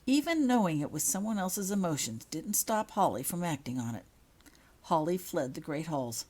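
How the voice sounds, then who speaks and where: Low, husky woman reading a story aloud. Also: a little mellow. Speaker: author reading own fan fiction. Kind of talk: scripted storybook narration.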